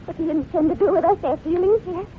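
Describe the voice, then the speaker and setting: Shrill, indignant banker's wife. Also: female voice, very fast. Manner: shrill, haughty. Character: indignant, sharp-tongued older banker's wife held hostage. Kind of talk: 1950s radio drama acting. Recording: tinny, noisy old radio recording.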